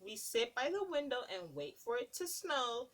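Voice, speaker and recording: A preschool teacher reads a picture book aloud in a sing-song way, her voice rising and dipping a lot. She pitches slightly high and keeps stopping to ask the children what comes next. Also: wildly swooping pitch, moderate pace, fairly bright tone. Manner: sing-song, asks children questions between pages.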